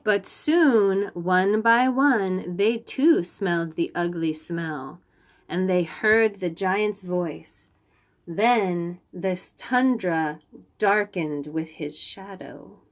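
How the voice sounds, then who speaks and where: A woman reading a storybook aloud for young children, expressive and deliberate, stressing key phrases. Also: on the low side.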